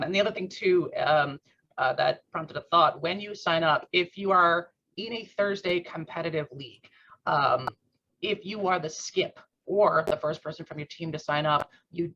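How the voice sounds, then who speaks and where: A man explaining club updates in an online meeting, talking informally with lots of fillers, his voice rough and strained after a workout. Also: on the high side, bright, forceful tone, slight background noise. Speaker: club board secretary. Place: at home on a video call. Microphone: computer mic on a video call. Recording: muffled video call audio.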